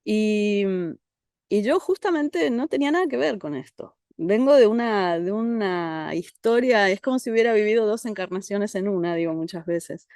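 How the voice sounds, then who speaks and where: Calm Argentine woman, dry close sound. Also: medium pitch, moderate pace, dry recording. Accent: Argentine Spanish. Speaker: guest therapist. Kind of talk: conversational interview. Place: country house outdoors among trees.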